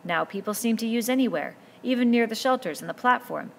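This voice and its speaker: A woman's voice: a little high, expressive, a little fast, creaky, a little hiss or hum, voice pushed up. A woman reading a novel aloud, voicing an earnest, commanding boy chief who pleads and insists as he lays down rules. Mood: urgent.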